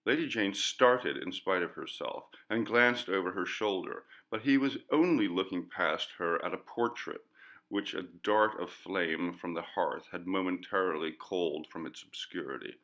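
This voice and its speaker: A man reading a literary ghost story as an audiobook, in a measured, dramatic manner. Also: sits low, a bit bright. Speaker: audiobook reader.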